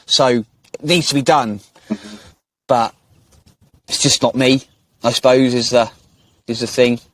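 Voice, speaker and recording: A man's voice: medium pitch, steady tone, slow, a bit bright, no echo. A man hosting an interview podcast, chatting casually and rambling with frequent restarts in a friendly way. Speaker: podcast host.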